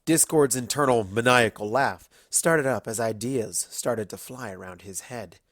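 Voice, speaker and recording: A man's voice: medium pitch, measured pace, fairly bright tone, no room sound, clean studio sound. A man reading a scripted story aloud as its narrator.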